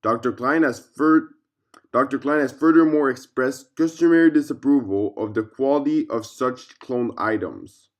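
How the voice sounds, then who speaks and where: A man reading a scripted fictional case file aloud as narrator, in a formal, measured way. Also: mid-range voice, muffled recording.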